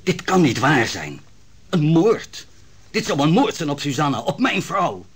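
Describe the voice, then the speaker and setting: A man's voice: fairly high pitch, very animated, measured pace, slightly rough, heavily acted. An actor playing a royal counsellor fearing for his wife, speaking earnestly and with agitation in a vintage radio drama. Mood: agitated. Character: royal counsellor, Susanna's anxious husband.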